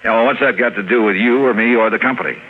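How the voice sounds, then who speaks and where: An actor playing a brisk, wry insurance investigator in a 1950s radio detective drama, with crisp, punchy delivery. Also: male voice, on the low side. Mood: wry, confident. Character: brisk, confident male freelance insurance investigator, wry and loyal. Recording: tinny vintage radio recording, music behind.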